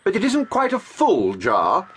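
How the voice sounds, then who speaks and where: A man voicing a slow, amiable, greedy bear in a dramatised children's story, musing and hesitant in a husky voice. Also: high-pitched, huge pitch swings, a bit bright, full character voice. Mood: musing, sheepish. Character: Pooh, slow, dim, greedy, amiable honey-loving bear.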